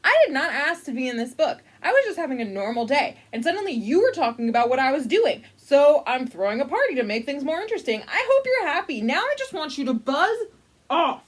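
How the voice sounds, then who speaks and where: A woman's voice: high voice, sing-song, a little fast. A woman voicing a grumpy, exasperated storybook alligator with an irritated, matter-of-fact way of complaining. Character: exasperated, grumpy alligator who just wants peace. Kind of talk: storybook read-aloud dialogue.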